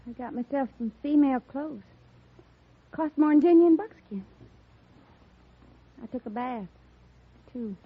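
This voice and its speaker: Young frontier tomboy: high, husky, shy but rough-tongued, backwoods Western radio drama, muffled vintage sound. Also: female voice, animated, a little slow, pauses often, slight background noise. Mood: blunt, embarrassed. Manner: unpolished backwoods Western dialect. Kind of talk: old-time radio drama acting.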